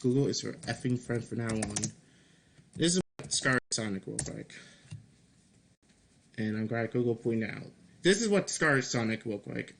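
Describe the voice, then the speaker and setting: A man giving casual devlog commentary as a game developer, speaking haltingly with repeated words and mild swearing. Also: mid-range voice, expressive, creaky, slight background noise. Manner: halting, repeats and restarts phrases. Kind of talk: casual screen-recorded commentary, reading and answering feedback.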